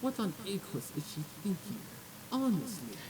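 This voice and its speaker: A man's voice: sits high, expressive, moderate pace, darkish tone, voice pushed up, poor audio. A man voicing a haughty, disdainful aristocratic pony gossip from a storybook, snobbish and indignant. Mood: snooty disdain.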